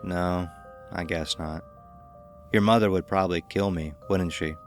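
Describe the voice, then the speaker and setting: A man's voice: low voice, steady tone, a little slow, faint music behind. A man voicing a playful, mischievous dad with a teasing, hopeful manner in a story. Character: playful chubby-cheeked dad, mischievous and a little bemused.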